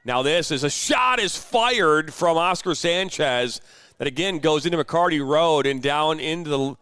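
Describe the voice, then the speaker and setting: A man's voice: on the high side, animated, measured pace, sharp tone, smooth, no room sound. A man calling a youth soccer match play-by-play, chatty and explaining referee decisions at length. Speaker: play-by-play commentator. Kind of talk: sports commentary. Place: commentary booth at soccer complex.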